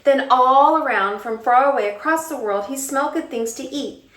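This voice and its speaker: High-voiced woman reading in a roomy echo. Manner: expressive, dramatic storytelling with drawn-out words. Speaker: children's storytime host, teacher. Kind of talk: children's picture-book read-aloud. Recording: roomy echo, distant mic, faint noise.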